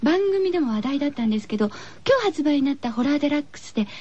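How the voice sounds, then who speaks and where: High-voiced, cutesy female radio host. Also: animated, rapid-fire, darkish tone, dull audio. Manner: cutesy, playful phrasing. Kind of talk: radio presenting, chatty and scripted. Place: radio studio.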